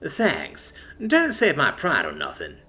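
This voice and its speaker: A man's voice: medium pitch, huge pitch swings, takes it slowly, sharp tone, heavily acted. A man voicing an awkward, good-natured male space trucker who talks hesitantly with lots of fillers.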